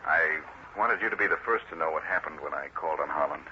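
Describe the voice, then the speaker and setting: An actor playing an earnest, troubled politician in an old-time radio drama, speaking formally. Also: male voice, a bass voice, fast talker, bright, forceful tone, exaggerated voice. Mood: earnest, worried. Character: earnest, troubled male politician under accusation. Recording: muffled, noisy vintage radio recording.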